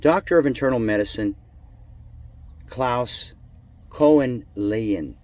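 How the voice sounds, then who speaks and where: A man reading an article aloud in a sarcastic, theatrical manner, with drawn-out emphasis and muttered asides. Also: medium pitch, darkish tone, no echo. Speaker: amateur reader of an article.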